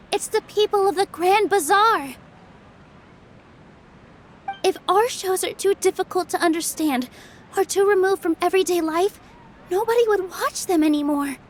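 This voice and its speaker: An actress playing a gentle, earnest young theater performer in a game, speaking sincerely. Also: female voice, extremely high pitch, a little hiss or hum. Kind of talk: English game dub voice acting.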